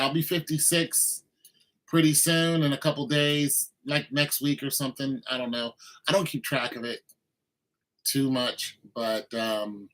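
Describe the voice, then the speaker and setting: A man's voice: on the high side, pushed and bright, poor audio. A man in his mid-fifties chatting casually and rambling to viewers on a livestream while cooking. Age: 50s. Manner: laid-back, rambling, talks to viewers. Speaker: livestream host.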